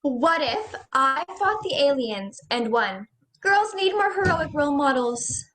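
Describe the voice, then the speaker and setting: A woman's voice: high-pitched, very animated, unhurried, fairly bright tone, heavily acted. A teenage girl playing an indignant, stubborn diva actress in a stage comedy, arguing in a declamatory way over a video call. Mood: scornful, defiant. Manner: declamatory, argumentative.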